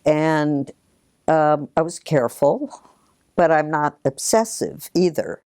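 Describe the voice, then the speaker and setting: A woman's voice: low-pitched, slow pace, a little mellow, no room sound, studio quality. An older woman giving a reflective personal account in an interview.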